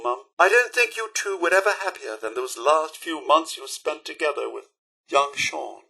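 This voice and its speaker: A fussy, devoted robot butler from a video game, speaking with a British accent. The voice sits high and sweeps up and down theatrically, with a slightly rough edge. Every phrase is formal and primly articulated, in the manner of a deferential servant. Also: male voice, sharp tone, heavily acted, low-quality recording. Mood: wistful. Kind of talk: scripted video game companion dialogue.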